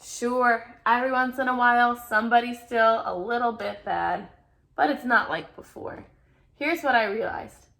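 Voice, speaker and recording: A woman voicing an earnest, anxious-to-please male egg in an expressive children's picture-book read-aloud. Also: a little high, moderate pace, vocal fry. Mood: content. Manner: expressive storybook reading with playful emphasis.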